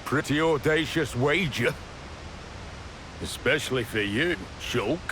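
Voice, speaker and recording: Man playing a slow-talking, seasoned warrior. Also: mid-range voice, noisy background, natural voice. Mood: wry, resolute. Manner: wry, unhurried, measured. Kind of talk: video game cutscene acting.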